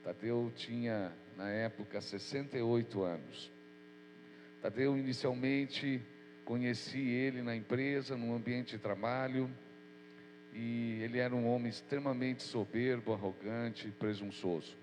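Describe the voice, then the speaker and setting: A man's voice: medium pitch, steady tone, measured pace, a little mellow. A man preaching as pastor, his voice nasal and stuffy from a cold, speaking earnestly and informally. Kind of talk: prayer and sermon. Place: church hall. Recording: echoey church hall with mains hum.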